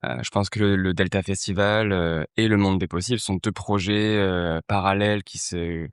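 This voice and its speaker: A man's voice: deep voice, fairly even intonation, moderate pace, clear, resonant tone, no echo, studio quality. A man in his thirties from Marseille, a festival founder, talking in a casual interview, hesitant with many fillers and restarts. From Marseille, France.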